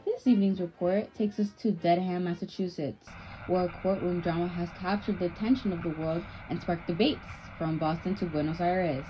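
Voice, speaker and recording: A woman's voice: fairly low pitch, fairly even intonation, moderate pace, hardly any pauses. Muffled old-time radio newswoman over music. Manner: theatrical, formal announcer delivery. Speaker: radio show host and news reader. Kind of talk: scripted 1920s-style radio news bulletin. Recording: muffled lo-fi audio with music behind.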